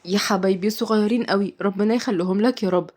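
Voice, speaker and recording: A woman's voice: medium pitch, fast talker, lightly acted. A woman voicing a sweet, playful nanny who speaks politely and tenderly in an audio story. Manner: tender, expressive.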